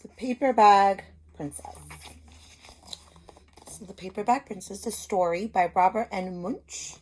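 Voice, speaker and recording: A woman's voice: mid-range voice, measured pace, a little mellow, rough recording. Woman teacher explaining and reading to children. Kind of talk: simple lesson and story read-aloud for children.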